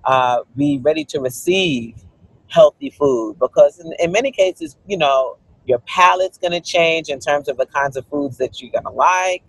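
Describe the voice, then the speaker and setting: A man's voice: fairly high pitch, lively intonation, normal speed, fairly bright tone, husky, poor audio. A man from Guyana, a cookbook author, talking earnestly in an interview and often saying "you know". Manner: earnest, explanatory, frequent "you know" fillers. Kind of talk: interview conversation.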